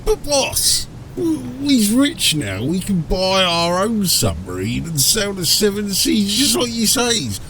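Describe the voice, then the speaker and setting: A man's voice: high voice, wildly swooping pitch, takes it slowly, few pauses, a bit bright, a little hiss or hum, voice pushed up, heavily acted, poor audio. A man voicing an ork crewman, a coarse-spoken underling to his boss, in a fantasy audiobook. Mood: pleading. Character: hesitant, eager-to-please male ork crewman underling. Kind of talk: fantasy audiobook character acting.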